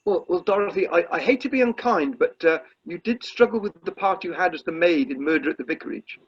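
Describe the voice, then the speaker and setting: A man's voice: sits high, expressive, a little fast, breathy edge. A man playing a fussy, polite amateur dramatics director in a comic radio play, stammering and tactfully effusive. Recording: slightly dull video call audio.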